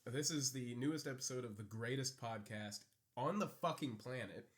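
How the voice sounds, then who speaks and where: Young male podcast co-host, rambling crude jokester, mid pitch, podcast mic. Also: moderate pace. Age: young adult. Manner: rambling, joking, laughs often. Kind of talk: casual crude podcast banter. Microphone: podcast microphone.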